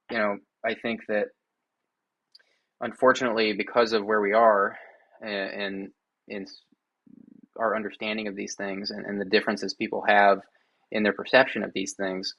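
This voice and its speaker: A man hosting a call-in listening show, talking casually and hesitantly, thinking out loud with many fillers and restarts. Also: on the low side, dry recording, dull audio. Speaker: host.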